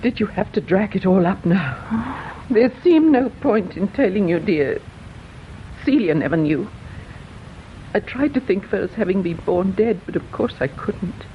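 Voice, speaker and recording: A woman's voice: medium pitch, lively intonation, unhurried, close to an everyday voice. Actress as an anxious, faltering mother. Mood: pained. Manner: hesitant, faltering. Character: anxious middle-aged mother, hesitant, ashamed and sorrowful. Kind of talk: British radio drama acting. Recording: dull radio drama recording with hiss.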